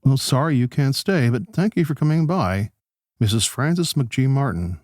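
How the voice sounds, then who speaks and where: An actor playing a polite radio host who gently probes his political guests in a conversational interview style. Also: mid-range voice, expressive, moderate pace, dry recording, studio quality, close to an everyday voice. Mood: polite, curious. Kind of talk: pseudo-radio play interview.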